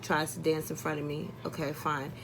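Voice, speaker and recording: A woman's voice: deep voice, monotone, moderate pace, creaky, fairly bright tone, a little hiss or hum. A young adult woman telling a personal story casually, exasperated and blunt, acting out dialogue and swearing often.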